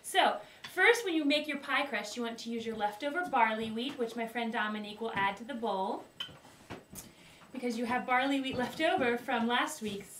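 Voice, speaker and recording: A woman's voice: a little high, normal speed, a bit bright. A young woman hosting a homemade cooking video, presenting in a playful mock-formal way and giggling often, heard from a distant camera in a small wooden kitchen. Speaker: host of a home cooking video. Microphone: far camera. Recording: roomy, distant, faint hiss.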